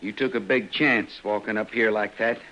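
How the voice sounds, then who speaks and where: A proud young frontier man speaks low with a rural American accent. He is guarded, desperate and plain-spoken. It sounds like a muffled old radio western. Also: fairly even intonation, moderate pace, bright, forceful tone. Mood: tense. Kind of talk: 1950s radio western acting.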